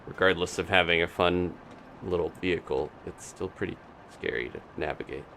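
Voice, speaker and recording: A man's voice: deep voice, normal speed, fairly bright tone, a little hiss or hum, poor audio. A man doing casual live commentary on a video game as he plays, thinking aloud. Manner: thinking aloud, talking to himself and his game character.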